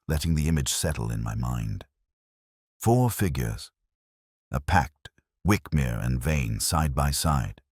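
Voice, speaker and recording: A man playing an ageing, wry, brooding detective inspector who narrates a scripted mystery in measured, literary phrases. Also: rumbling low, husky, dry recording, clean studio sound, full character voice.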